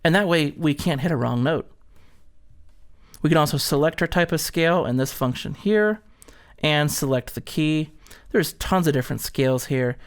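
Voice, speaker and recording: Higher-pitched man presenting a product demo. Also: moderate pace, clean tone. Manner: explanatory, step-by-step. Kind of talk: instructional product demonstration.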